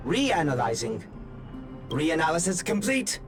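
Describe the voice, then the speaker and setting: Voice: mid-range voice, very animated, measured pace, over music. An actor voicing an impassive combat robot in a video game, formal, clipped and coldly procedural.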